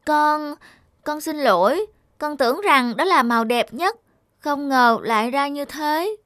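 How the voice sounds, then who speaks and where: A woman's voice: high-pitched, animated, measured pace, fairly bright tone, no room sound, clean studio sound. A woman voicing an eager, affectionate little boy in a cartoon, childlike and earnest. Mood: remorseful, earnest. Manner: childlike, pleading. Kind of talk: cartoon voice acting.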